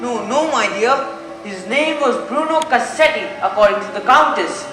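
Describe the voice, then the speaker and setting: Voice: mid-range voice, lively intonation, moderate pace, lightly acted. A young student actor playing a haughty, vengeful aristocratic lady, imperious and emphatic in a formal way, in a school stage play. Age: teenager. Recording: very echoey stage recording with music.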